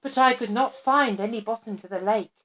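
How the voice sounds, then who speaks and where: A patient male toad from a storybook, telling his journey slowly and wearily, as a woman acts him out. His voice sits a little high and bright. The recording is dull and lo-fi. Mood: weary. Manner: slow, weary, repetitive telling. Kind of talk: storybook reading.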